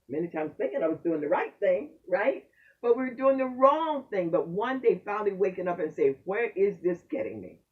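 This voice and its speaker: A man's voice: high voice, far from the mic, narrow, tinny audio. An older man hosting a casual faith conversation, affirming and preacherly.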